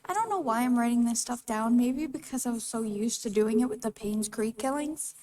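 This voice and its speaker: Female let's-player with a youthful, higher voice, casual asides; distant mic, faint noise. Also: a little fast, dark tone. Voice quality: young-sounding voice. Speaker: gaming channel host. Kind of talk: let's play commentary.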